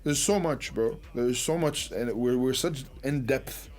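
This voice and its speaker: A man's voice: medium pitch, unhurried, darkish tone. A young man from Dubai with a mixed Dubai English accent, a rapper speaking candidly in a documentary interview and swearing casually. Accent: Dubai English mix.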